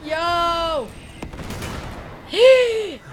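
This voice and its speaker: A young woman from Bosnia and Herzegovina streaming a game live, excitable, with a voice partly lost, on a headset at home. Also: extremely high pitch, drawn-out words, over music. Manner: excitable, shouts and laughs at the action.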